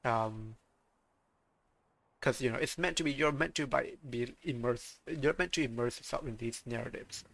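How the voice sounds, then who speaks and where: A man streaming a game, chatting in halting casual commentary full of restarts. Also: mid-range voice, vocal fry, a bit bright.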